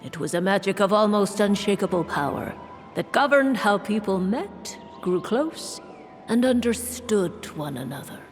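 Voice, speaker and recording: Mystic prophetess for a game, actress, composed and formal, unhurried pace, long reverb, faint music. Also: female voice, mid-range voice, close to an everyday voice. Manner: measured, formal. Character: mysterious, composed, solemn prophetess telling ancient lore. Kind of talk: video game character acting, storytelling.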